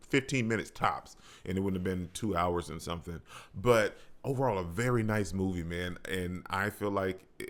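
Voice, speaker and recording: A man's voice: low voice, fairly bright tone. A man chatting casually as a movie reviewer on his own channel, in a colloquial, slangy and enthusiastic way. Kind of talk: casual video review chat.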